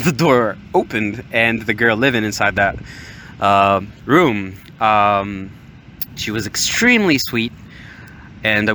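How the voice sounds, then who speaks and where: A young man from Morocco with an American accent, hosting a podcast and telling casual personal stories in a hesitant way. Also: mid-range voice. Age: young adult. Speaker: podcast host. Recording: steady outdoor-like background noise, waves. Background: ocean waves ambience.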